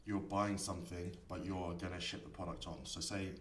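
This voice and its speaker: Deep voiced guy doing a software walkthrough, explains step by step, roomy echo and a bit of background noise. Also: level tone, a little fast. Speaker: software instructor. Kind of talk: screen-recorded tutorial explanation. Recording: echoey room, distant mic, faint noise.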